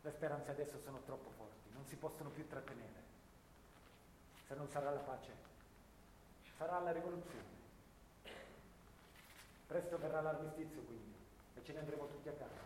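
A man's voice: mid-range voice, moderate pace, long pauses, a little mellow, close to an everyday voice. A man playing a solemn, war-weary soldier in echoing hall. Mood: tense hope. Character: grieving, war-weary young soldier, last of his comrades. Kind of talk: theatrical monologue for radio theatre. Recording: echoey stage hall, faint noise.

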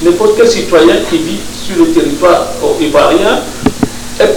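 A man from West Africa giving an informal talk to an audience, halting, with many restarts and fillers. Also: a little high. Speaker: speaker at a public discussion. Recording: distant mic in an echoey room with noise.

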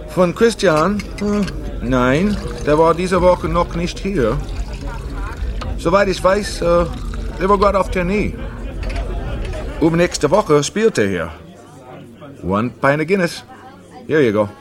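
An actor playing a friendly, easygoing pub bartender in a German radio drama, unhurried and slipping in English phrases. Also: male voice, fairly high pitch, lively intonation. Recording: bar music and crowd behind.

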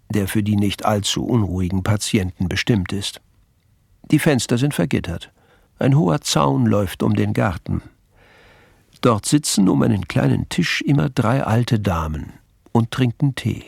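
An actor playing a measured, wry storyteller, narrating a radio play in literary German sentences. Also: male voice, mid-range voice, lively intonation, gravelly, breathy edge, no echo, studio quality, full character voice. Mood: calm, wry.

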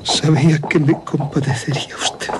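An actor playing a grieving, embittered general in a radio drama, grave and theatrical, with emphatic pauses. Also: male voice, fairly high pitch, steady tone, noisy background, lightly acted. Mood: grief-stricken. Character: grieving, embittered general bent on destroying monsters.

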